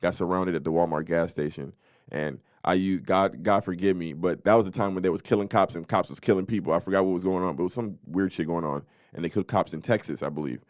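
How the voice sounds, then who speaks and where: A young man with a very low voice tells stories on a casual podcast. He talks loosely and brings the scene alive by acting out what people said. The sound is close and dry. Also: fast, dry recording. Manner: animated storyteller, acts out quotes. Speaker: podcast co-host. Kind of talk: casual storytelling chat.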